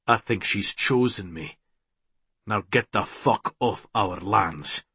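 A man voicing a fierce, protective Scottish male werewolf with a rough voice, in an audiobook. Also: fairly low pitch, slow pace, pushed and bright, dry recording, pitched below the performer's own voice, full character voice. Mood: grimly controlled.

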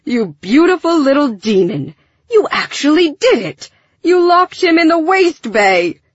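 A boisterous female crewmate voiced by a woman in a space audiobook. She is teasing and emphatic, with a husky edge and a close, dry sound. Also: lowish voice, big pitch swings, normal speed, dry recording, dull audio. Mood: gleeful. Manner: emphatic, exclaiming.

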